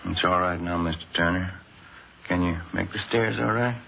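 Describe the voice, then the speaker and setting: An actor playing a gentle, considerate police detective in an old radio drama, plain and subdued in manner. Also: male voice, low-pitched, normal speed, vocal fry. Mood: gentle, solicitous. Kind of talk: 1940s-50s radio drama acting. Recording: phone-like old radio recording, faint noise.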